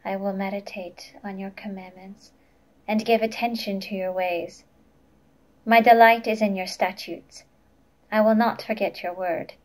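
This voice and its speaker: A woman's voice: medium pitch, steady tone, unhurried, a little mellow, poor audio. A woman in her twenties reading scripture aloud as a lay reader, carefully and plainly.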